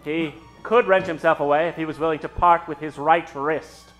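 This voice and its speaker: A man with a slightly high voice narrates a radio play. He is theatrical and suspenseful, pausing for drama, with faint music behind him. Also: fairly even intonation, fairly bright tone.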